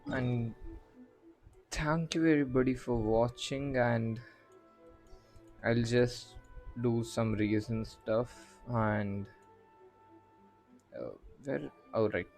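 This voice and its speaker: A man streaming a video game, murmuring casual commentary as he haltingly thinks aloud. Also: medium pitch, fairly even intonation, a little mellow. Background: faint game music.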